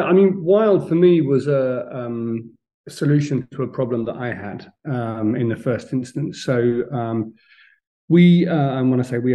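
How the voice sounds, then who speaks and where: A man's voice: medium pitch, warm tone. A middle-aged man, a podcast guest telling stories in a chatty way with many ums and restarts. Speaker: podcast guest, food business founder. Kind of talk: casual interview chat.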